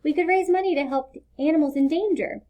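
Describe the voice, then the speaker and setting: A woman voicing an earnest, expressive young boy in a children's storybook read-aloud. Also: high voice, a little fast, pitched above the performer's own voice, natural voice. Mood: eager. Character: young boy Leo, earnest, worried about animals.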